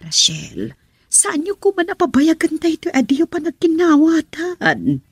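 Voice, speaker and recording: A woman's voice: very high voice, huge pitch swings, a little slow, dry recording, studio quality, heavily acted. A woman playing a concerned older mother who admonishes her family, in a Filipino radio drama in Ilocano. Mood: coaxing.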